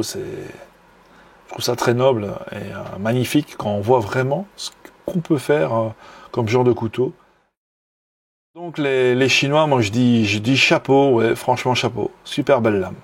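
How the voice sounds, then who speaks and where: A Belgian man with a Belgian French accent, talking casually and enthusiastically in a video review. His voice is low and gravelly with a husky edge. He hesitates often, filling pauses and restarting his sentences. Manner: hesitant, many fillers and restarts; enthusiastic, admiring. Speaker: knife hobbyist vlogger.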